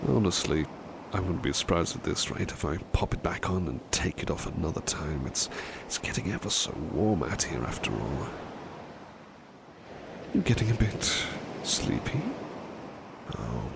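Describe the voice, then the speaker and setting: Man playing a deep, gentle, devoted butler. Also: vocal fry, noisy background, full character voice. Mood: relaxed, blissful. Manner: gentle, soothing, courteous, murmured repetitions, often dropping to whispers. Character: devoted, tender, polite male butler servant. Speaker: ASMR roleplay performer. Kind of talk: soft-spoken ASMR personal attention roleplay.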